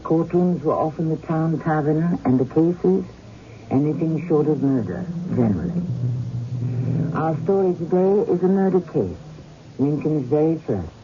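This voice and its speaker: A man's voice: on the high side, expressive, a little slow. A man giving scripted, theatrical narration as the host of a radio drama. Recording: dull, noisy old radio broadcast.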